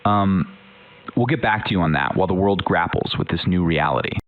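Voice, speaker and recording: A male news anchor, played by a man, reports in a measured way. His voice is very deep. It comes through thin and phone-like. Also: animated. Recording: phone-like narrow sound.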